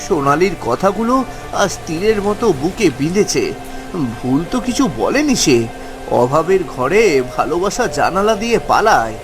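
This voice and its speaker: A man's voice: sits high, very animated, moderate pace. A man playing a timid, henpecked poor fisherman in a cartoon, plaintive and melodramatic. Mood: despairing. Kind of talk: cartoon dialogue. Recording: music behind the voice.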